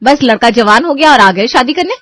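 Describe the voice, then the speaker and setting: A woman's voice: on the high side, expressive, brisk, fairly bright tone, dry recording. A woman voicing a picky, haughty young unmarried daughter in a cartoon story. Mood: haughty, indignant. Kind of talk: Hindi cartoon story voice acting.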